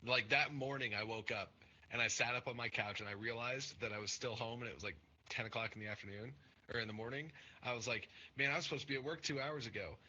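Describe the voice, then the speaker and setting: A man's voice: mid-range voice, fast talker, bright, forceful tone, lo-fi sound. A young man hosting a casual podcast, talking earnestly and rambling with many fillers and restarts. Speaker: podcast host and Twitch streamer.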